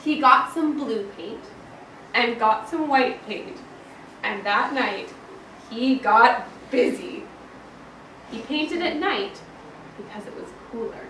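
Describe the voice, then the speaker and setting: A woman primary school teacher reading a picture book aloud to a young class with expressive, emphatic storytelling. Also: a little high, takes it slowly, long pauses. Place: indoor classroom, children present. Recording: echoey classroom from far off, some noise.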